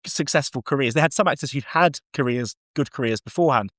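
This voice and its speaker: A man's voice: mid-range voice, fast talker, fairly bright tone, no room sound, studio quality. A man co-hosting a podcast, discussing audio drama in a casual, discursive and enthusiastic way.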